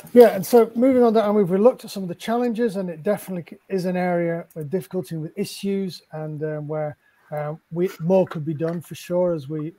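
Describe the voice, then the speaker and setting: A man's voice: sits high, mellow, rough recording. A middle-aged man moderating an online panel over a video call, with long, wordy questions. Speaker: panel host. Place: indoor room at home, book-lined.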